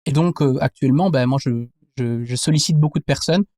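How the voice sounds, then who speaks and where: A man's voice: mid-range voice, fairly quick, darkish tone, no echo, studio quality. A man, reflecting thoughtfully in a conversational interview and rephrasing as he goes. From Belgium. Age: 20s. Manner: thoughtful, searching, reformulates as he goes. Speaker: interview guest, anthropologist.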